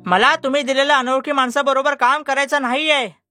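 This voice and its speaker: A man playing a greedy, petulant young cowherd boy, whiny and complaining, in a children's animated fairy tale. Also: very high-pitched, brisk, dry recording, studio quality, faint music behind. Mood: indignant.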